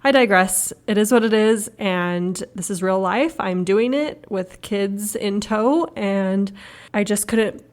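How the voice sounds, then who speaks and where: Chatty woman hosting over a video call. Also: medium pitch. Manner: eager, talkative, upbeat. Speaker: podcast host. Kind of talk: casual interview chat. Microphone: video call recording.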